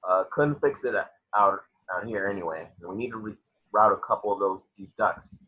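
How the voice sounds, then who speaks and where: Man playing a gruff ship's engineer: bright, forceful but husky voice, blunt and grumbling, lo-fi sound. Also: fairly low pitch, moderate pace. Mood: disgruntled. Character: gruff, grumbling working-class male spaceship engineer. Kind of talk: amateur screenplay table read.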